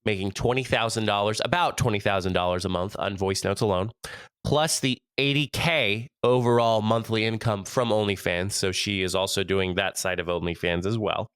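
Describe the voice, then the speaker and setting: Congested American man with a smooth, low voice. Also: normal speed, a bit bright, no room sound. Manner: chatty, laughs often, tells stories. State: stuffy, allergy-congested voice. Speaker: podcast co-host. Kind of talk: casual podcast chat.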